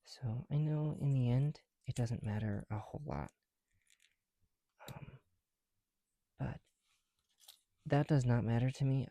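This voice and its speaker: A man's voice: mid-range voice, warm tone, dry recording. A man making a casual ASMR video, chatting in a self-deprecating way with small asides as they go. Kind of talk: ASMR, casual chat while building bricks. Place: on the bedroom floor at night.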